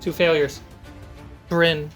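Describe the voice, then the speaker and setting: A game master hosting a tabletop fantasy show. The voice sits at a middling pitch, with music clearly playing behind it. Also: flat delivery, slow, fairly bright tone. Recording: background music under voice. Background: background music.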